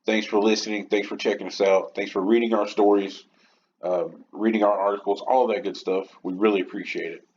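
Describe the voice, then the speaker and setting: Male podcast host, on the low side, casual and opinionated, loosely rambling; muffled home recording with slight noise. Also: fairly even intonation, brisk, darkish tone.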